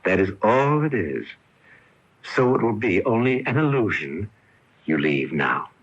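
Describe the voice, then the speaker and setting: A man's voice: fairly low pitch, sing-song. An actor playing the ghost of a man who took his own life, an intense, possessive lover pleading theatrically in a radio mystery drama. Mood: solemn, insistent. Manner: theatrical, halting, insistent delivery. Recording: muffled 1980 AM radio broadcast tape.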